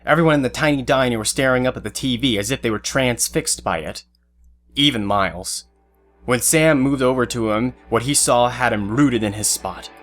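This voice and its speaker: A man's voice: medium pitch, normal speed, fairly bright tone. A man giving scripted third-person narration for an audio drama. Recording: faint music under narration. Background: faint music.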